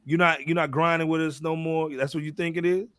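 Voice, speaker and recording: A man's voice: fairly high pitch, fairly even intonation, a little fast, fairly bright tone, no room sound. A man hosting a panel podcast, talking casually in slangy banter and repeating himself for emphasis. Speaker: podcast host moderating a panel. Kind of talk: animated casual music talk.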